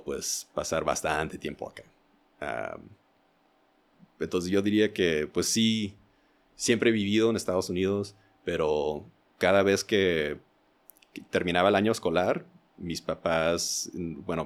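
Podcast guest: man, very deep voice, casual, halting and self-correcting delivery. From United States, Indiana. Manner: hesitant, self-correcting, qualifying himself. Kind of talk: casual interview, personal reflection.

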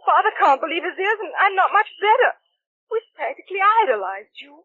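A distressed young Englishwoman, pleading, high voice, muffled old radio. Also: big pitch swings, a little fast. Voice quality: pleading, emotional. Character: distressed young Englishwoman confronting her fiancé. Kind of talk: 1940s radio acting.

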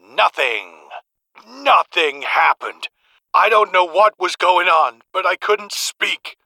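Man as sharp, creaky rattled barfly caller. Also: high voice, expressive, dry recording, full character voice, rough recording. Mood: agitated, baffled. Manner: slangy, halting, emphatic. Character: rattled, crude barfly calling a radio show. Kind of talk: audio drama acting.

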